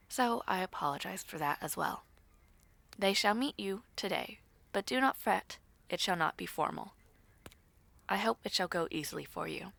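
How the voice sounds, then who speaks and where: A woman voicing a gracious nobleman fiancé in a fantasy audio roleplay, formal, courteous and measured, as in a letter. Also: on the low side, creaky, sharp tone, voice pushed down, full character voice.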